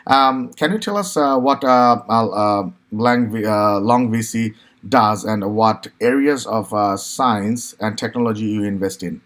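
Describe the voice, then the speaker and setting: A man's voice: on the low side, measured pace, fairly bright tone. A man hosting a podcast interview over a video call, asking friendly, hesitant questions full of "uh"s. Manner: friendly, hesitant, filler-heavy. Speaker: podcast host.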